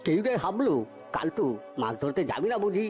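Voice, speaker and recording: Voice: medium pitch, sing-song, brisk, darkish tone, soft background music, close to an everyday voice. A performer playing an easygoing, chatty old village fisherman in a cartoon story, reassuring and a little bossy. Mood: friendly.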